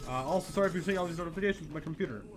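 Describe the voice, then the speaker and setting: A man's voice: fairly high pitch, very fast, over music. Nasal, congested young man chatting. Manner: rambling, chatty, self-deprecating. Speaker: gameplay commentator. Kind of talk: casual gameplay commentary.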